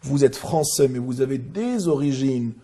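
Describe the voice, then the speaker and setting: Male interviewer, gravelly, husky, dark tone, insistent probing questions. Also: medium pitch, expressive, moderate pace.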